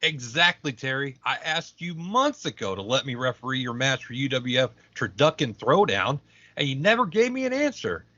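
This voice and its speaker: Man doing petulant referee, wildly swooping pitch. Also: sing-song, moderate pace, pushed and bright, dry recording, dull audio. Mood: aggrieved. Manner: indignant, melodramatic. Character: Dennis, petulant, self-important wrestling referee. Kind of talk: comedic audio play acting.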